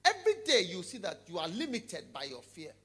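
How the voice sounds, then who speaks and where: A middle-aged man preaching a sermon to a hall audience on a handheld microphone, emphatic and repetitive, dropping to a hush then rising loud. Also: high-pitched, wildly swooping pitch, a little fast. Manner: emphatic, repeats phrases, swings between hushed and loud.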